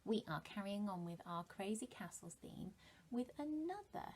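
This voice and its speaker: A woman's voice: medium pitch, moderate pace, husky. A British woman in her thirties, a primary teacher talking and reading expressively to young children, often putting questions to them. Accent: British English. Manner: expressive, asks listeners rhetorical questions. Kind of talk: animated talk and storybook reading to young children.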